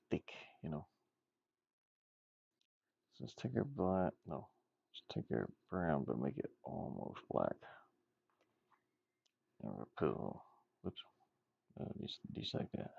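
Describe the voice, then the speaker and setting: Male, low creaky voice, muttering offhand through a casual design work-along; dry, slightly dull home recording. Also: big pitch swings, measured pace, long pauses, no room sound. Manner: muttering to himself in short, offhand phrases. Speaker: game designer demonstrating pixel art.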